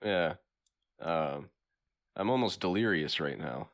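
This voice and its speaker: A young man co-hosting a podcast whose voice is scratchy from illness, talking in a laid-back, halting way and laughing often. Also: sits low, lively intonation. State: scratchy voice while recovering from illness. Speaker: podcast co-host. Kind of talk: casual comedic chat.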